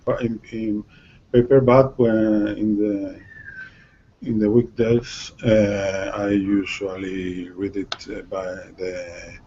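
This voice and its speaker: A man with a Spanish accent answers in English as a student, searching for words. He speaks very slowly in a low, dark voice. The audio is dull and lo-fi. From Spain. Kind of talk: halting answers in a second language.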